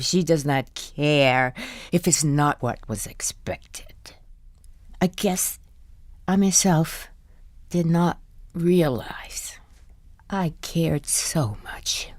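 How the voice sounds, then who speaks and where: An actress playing an exasperated older wife who carries shame, in a naturalistic audio drama. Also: female voice, deep voice, no room sound, clean studio sound, full character voice. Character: exasperated, ashamed older wife of a zealous husband.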